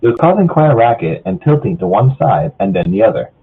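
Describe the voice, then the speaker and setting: Husky man narrating over a phone-like mic. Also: mid-range voice, big pitch swings, fast talker. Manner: stumbles over lines and rereads them. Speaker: narrator of a group fan fiction reading. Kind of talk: informal story narration. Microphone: online voice chat. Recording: muffled, phone-like voice chat audio.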